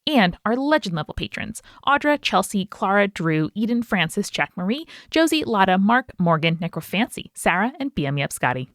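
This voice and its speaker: A woman's voice: mid-range voice, sing-song, moderate pace, few pauses, fairly bright tone, no room sound. A woman co-hosting a podcast on a close mic, reading out thanks and promotions in an upbeat, appreciative, chatty way. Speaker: podcast co-host. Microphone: close podcast microphone.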